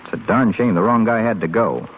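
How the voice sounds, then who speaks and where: A man's voice: fairly low pitch, a little fast, natural voice. An actor playing a brash, sardonic young rich man in a 1930s radio drama. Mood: bitter, sardonic. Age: young adult. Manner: wisecracking, sardonic. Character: brash, flippant, restless young rich male hospital patient. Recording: scratchy, tinny 1930s radio broadcast recording.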